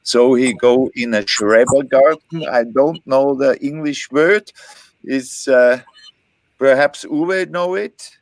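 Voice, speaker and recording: Guy, super slow halting english, dry sound. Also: medium pitch, no echo, low-quality recording. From Switzerland. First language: German. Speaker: filmmaker. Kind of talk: panel Q&A answer.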